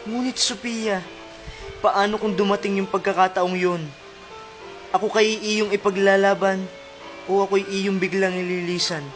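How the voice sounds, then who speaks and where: Guy acting earnest filipino farm boy lover in radio drama, highish voice, protective, soft music behind. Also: flat delivery, measured pace, long pauses. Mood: earnest love. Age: young adult. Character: earnest, protective young farmer's son in love.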